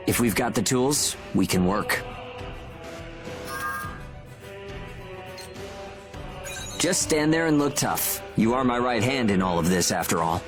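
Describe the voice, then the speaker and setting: A man's voice: on the low side, lightly acted. An actor playing an earnest, determined young man in a dramatic video game scene, speaking persuasively. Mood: resolute. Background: music.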